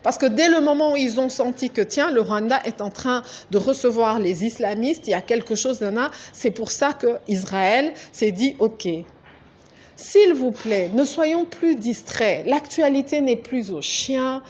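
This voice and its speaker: A woman's voice: a little high, expressive, a little slow, a little mellow, slight background noise. A woman from Congo, giving an emphatic, pleading political monologue as an activist, often asking her listeners "ok?". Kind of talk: political monologue addressed to viewers. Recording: dull lo-fi recording.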